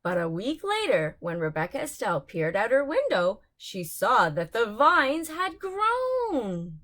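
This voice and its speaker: Woman reading storybooks, slow and very animated. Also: on the high side. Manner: deliberate, dramatic emphasis on key words. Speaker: children's story reader. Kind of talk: expressive bedtime storybook narration for young children.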